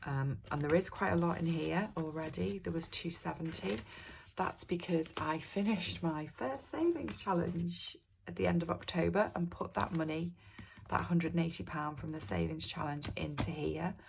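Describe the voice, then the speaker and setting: A British woman with a croaky voice from a cold, chatting casually about her budget in a rambling way. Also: fairly low pitch, normal speed, a little hiss or hum, poor audio. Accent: British English. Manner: chatty, rambling, with frequent ums. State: croaky from a cold, sore throat. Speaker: budgeting vlogger. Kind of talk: casual talk to camera.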